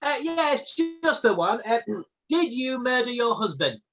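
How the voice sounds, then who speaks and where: Actress as a dry, polite detective, lively pitch, dull lo-fi sound. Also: female voice, unhurried, soft background music. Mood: deadpan. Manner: polite, deadpan. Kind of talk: stage comedy acting.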